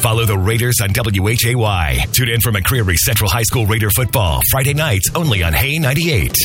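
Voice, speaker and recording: A man announcing radio station promos and identifications in a punchy, animated delivery. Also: on the low side, brisk. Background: loud music.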